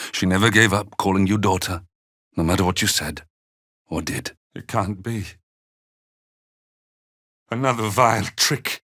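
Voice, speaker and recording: An older man voicing a weary, gentle, devout old father in a video game, with halting, tender and solemn delivery. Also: low voice, slightly rough, heavily acted. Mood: anguished, fearful. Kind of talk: dramatic video game character dialogue.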